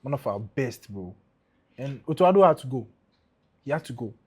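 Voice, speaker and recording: A young Ghanaian man with a Ghanaian accent, co-hosting a casual football podcast, animated and dropping into pidgin. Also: mid-range voice, moderate pace, husky. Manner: animated, mixes in pidgin, laughs often. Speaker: podcast co-host.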